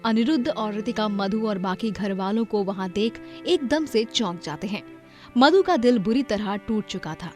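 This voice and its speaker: A woman narrating a cartoon moral story in an expressive storybook style. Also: medium pitch, moderate pace. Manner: expressive, emotional. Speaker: narrator and heroine Madhu. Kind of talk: storybook narration and acted dialogue. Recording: background music under voice.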